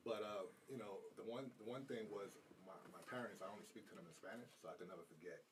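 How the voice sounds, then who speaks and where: A man from Rico, telling his life story in a halting, soft-spoken way. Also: fairly low pitch. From Puerto Rico. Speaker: guest. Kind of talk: personal story in interview. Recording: distant mic, faint room noise.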